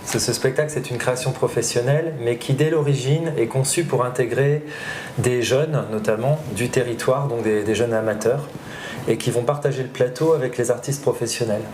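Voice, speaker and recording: A man answering interview questions as a theatre director, explaining things haltingly as he thinks aloud. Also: medium pitch, a little mellow. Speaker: theatre director and drama teacher being interviewed. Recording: roomy echo, faint background noise.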